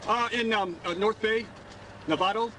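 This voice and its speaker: A man in his seventies from Michigan with a Midwestern accent, a stopped driver answering questions haltingly, with many fillers. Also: sits high. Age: 70s. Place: roadside by a highway. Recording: distant, loud traffic noise.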